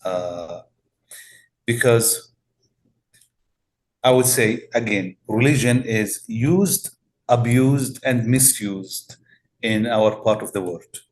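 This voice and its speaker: A male pastor from Palestine with a Palestinian Arabic accent, answering interview questions in a measured, deliberate way with many pauses and fillers. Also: mid-range voice, darkish tone.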